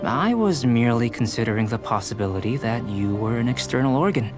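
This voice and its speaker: Young game alchemist, male actor: mid-range voice, cerebral, courteous and detached, formal phrasing, clear music bed behind. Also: mid-range voice, lively intonation, close to an everyday voice. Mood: musing, analytical. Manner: measured, formal, scholarly, detached. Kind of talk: video game character acting.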